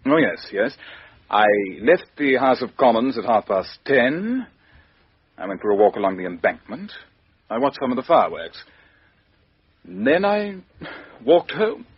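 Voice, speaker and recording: A stiff, pompous politician who bristles at being questioned. His husky voice swings widely in pitch. The sound comes from a dull, muffled 1950s radio recording. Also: sing-song, unhurried, long pauses, exaggerated voice. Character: stuffy, pompous male politician. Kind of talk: 1950s radio drama acting. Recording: dull old radio broadcast.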